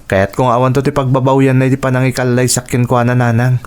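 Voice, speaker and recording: A man's voice: medium pitch, level tone, fast, darkish tone, clean tone, lightly acted. A man playing an earnest, dutiful young son and sweetheart in a radio drama, speaking sincerely.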